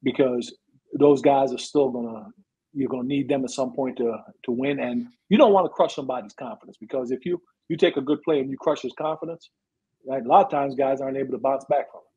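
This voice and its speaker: Husky-voiced male football coach explaining. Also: medium pitch, fairly quick, warm tone. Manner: explanatory, punctuates points with "right" and "you know". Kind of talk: conversational interview advice. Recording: dull, lo-fi sound.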